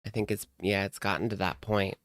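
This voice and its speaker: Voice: low-pitched, level tone, brisk, fairly bright tone, no room sound, clean studio sound. A reaction channel host talking casually in a blunt, mocking and sardonic way while commenting on a video.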